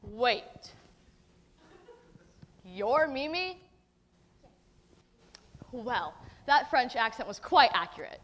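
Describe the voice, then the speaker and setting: A woman's voice: on the high side, expressive, drawn-out words, pauses often, a bit bright, heavily acted. A woman playing an earnest, lovesick young groom who pleads with his bride. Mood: puzzled.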